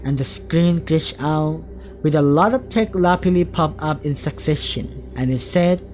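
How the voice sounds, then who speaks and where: Low-pitched woman narrating a video essay. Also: unhurried, darkish tone, a little hiss or hum. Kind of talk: scripted analysis narration.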